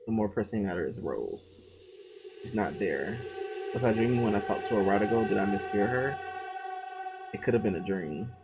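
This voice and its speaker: A man reading a horror visual novel's text aloud, breaking off to swear and react loudly between lines. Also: on the low side, expressive, brisk, long pauses, dull audio. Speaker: gamer playing a visual novel. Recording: loud game music behind.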